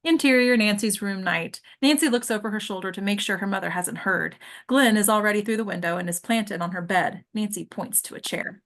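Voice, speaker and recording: A woman's voice: mid-range voice, fairly quick, hardly any pauses. A woman narrating the stage directions of a film script at a table read.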